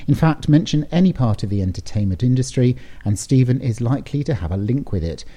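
A man presenting a hospital radio interview, asking measured questions. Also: medium pitch, animated, warm tone. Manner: measured, scripted-sounding questions.

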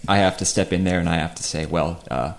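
A man playing a smug, agreeable doctor husband in improvised radio comedy, speaking in a halting, pompous deadpan. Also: low voice.

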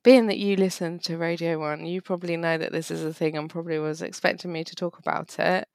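A woman co-hosting a podcast, chatting casually about songs, her voice croaky as she loses it. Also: fairly low pitch, fairly even intonation, quick pace. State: losing her voice. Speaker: podcast co-host. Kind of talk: casual music review chat.

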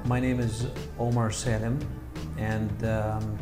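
A man from Egypt, a scholar of Islam, answering interview questions with many pauses and fillers. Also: lowish voice, little pitch movement, echoey room, music in the background. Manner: halting, many fillers and restarts.

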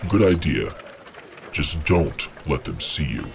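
Slow, deep demon lord. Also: male voice, very animated, gravelly, a little hiss or hum, exaggerated voice. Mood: calm command. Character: Satan, commanding fallen lord of demons. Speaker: narrator and villain in an audio drama. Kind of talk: slow dramatic audio drama acting.

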